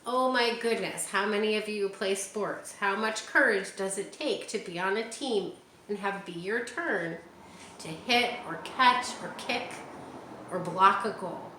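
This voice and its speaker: A woman's voice: mid-range voice, unhurried. A woman reading a children's picture book aloud and chatting with young listeners between pages. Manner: animated, talks directly to young listeners. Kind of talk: read-aloud storytime with chatty asides to kids. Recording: roomy echo, faint ambient hiss.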